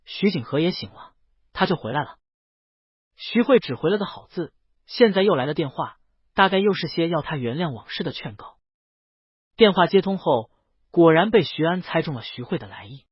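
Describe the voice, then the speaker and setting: A man with a fairly high, husky voice narrates a romance audiobook. His pitch moves around in a lively way as he tells the story. The recording is dry, close and slightly dull. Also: dry recording. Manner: reads character dialogue within the narration. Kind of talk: scripted romance novel narration.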